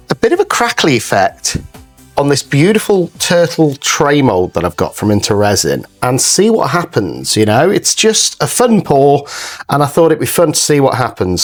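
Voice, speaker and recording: Male craft presenter, mid-pitched and animated, slightly croaky from a cold, informal tutorial chat addressed to viewers. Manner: chatty, addresses viewers as guys. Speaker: craft channel host.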